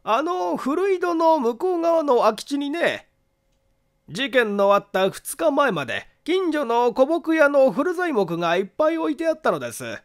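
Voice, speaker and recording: A man's voice: extremely high pitch, very animated, unhurried, voice pushed up, exaggerated voice. A man reading a story, voicing an evasive, self-important odd fellow with a coy, excuse-making manner.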